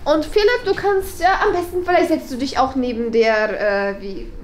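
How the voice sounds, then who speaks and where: Woman playing a high-pitched, smug young headmistress. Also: normal speed, close to an everyday voice. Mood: smug, bossy. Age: young adult. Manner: chatty, rambling, self-satisfied. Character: smug, flighty, bossy young new headmistress. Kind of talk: improvised comedy sketch acting. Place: small bright meeting room.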